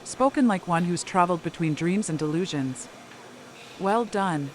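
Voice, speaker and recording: An actor in an audio drama playing a cryptic, calm tavern mystic who speaks in a measured, terse way, with faint background noise. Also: mid-range voice, close to an everyday voice. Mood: wry approval.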